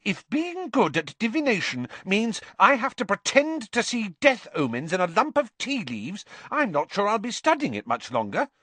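Man voicing a high, bossy schoolgirl know-it-all. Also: very animated, fairly bright tone, no echo. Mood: indignant. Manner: brisk, know-it-all, cool. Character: bossy, brainy schoolgirl with airy superior manner. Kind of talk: audiobook character voice.

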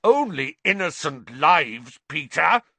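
A man's voice: sits low, fairly even intonation, moderate pace, dry recording. A man voicing a vengeful, wronged man in a storybook, snarling and growling his accusations with fury. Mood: bellowing fury. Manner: forceful, accusing.

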